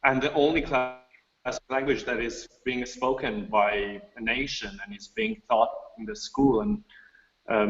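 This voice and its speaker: A man's voice: mid-range voice, fairly bright tone. A man whose first language is Persian, speaking in an online seminar discussion and hesitating and restarting often as he searches for words. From Iran. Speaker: seminar participant, artist. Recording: dull echoey room audio.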